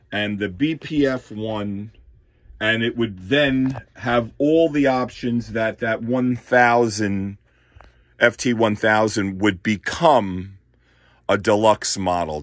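Slow, methodical man, dry close sound. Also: lowish voice, no room sound. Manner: slow, methodical, explains step by step with fillers. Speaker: ham radio channel host. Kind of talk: casual show-and-tell explanation. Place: home radio shack full of equipment.

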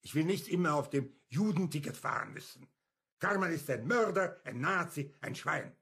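An embittered journalist in a radio drama. His voice sits a little high and sounds husky and creaky. He is irascible, pressing and sarcastic. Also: animated, normal speed, fairly bright tone, exaggerated voice. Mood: bitter. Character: embittered, indignant male journalist, camp survivor. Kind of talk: German radio play acting.

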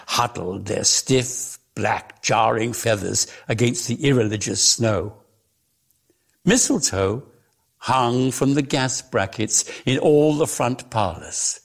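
A man giving a dramatic literary reading as storyteller, with theatrical, deliberate, emphatic phrasing. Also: medium pitch, gravelly, husky. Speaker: reader of a story.

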